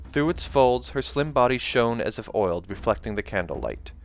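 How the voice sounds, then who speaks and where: Man narrating fantasy over soft music. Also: mid-range voice, big pitch swings, moderate pace, creaky. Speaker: audiobook reader. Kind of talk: dramatic fantasy novel narration. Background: background music.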